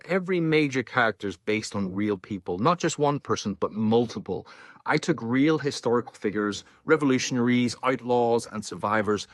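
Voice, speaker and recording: A man's voice: medium pitch, dry recording. Northern irish guy in his 40s, earnest, lots of ums, mid voice, dry close sound. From Northern Ireland. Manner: earnest, with many ums and restarts. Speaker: author. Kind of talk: direct-to-camera talk.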